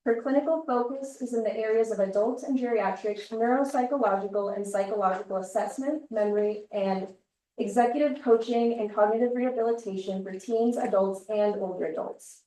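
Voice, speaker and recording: A woman social worker introduces a seminar, upbeat and then reading a formal speaker bio. Her mellow, slightly high voice comes from far off the mic and echoes through the room. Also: brisk. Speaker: social worker, event host. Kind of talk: event introduction.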